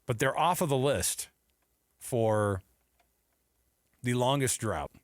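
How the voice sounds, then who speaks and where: An American male radio host with very animated, swinging pitch and a dry, close studio sound. He talks sports in a chatty, off-the-cuff way, hopping from one thought to the next. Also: takes it slowly, dry recording. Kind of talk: casual sports talk radio banter.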